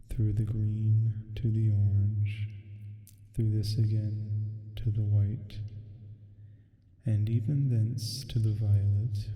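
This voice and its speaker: A man voicing a sleep paralysis demon reading a horror story for ASMR in a slow, solemn, hushed, ominous way. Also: fairly low pitch, dull audio. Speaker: ASMR roleplay performer. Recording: echoing long reverb, music underneath. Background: music.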